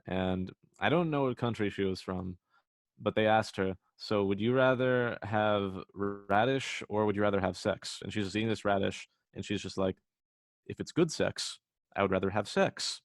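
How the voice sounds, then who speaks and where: A man's voice: on the low side, normal speed, dry recording. Dry-humored young male podcast host. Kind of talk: casual chat.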